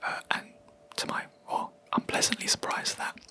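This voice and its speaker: A young adult video creator, whispering a rambling, hesitant gaming chat close to the mic in a quiet room. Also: moderate pace. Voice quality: soft unvoiced whisper throughout. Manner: rambling, hesitant, with many ums and self-corrections.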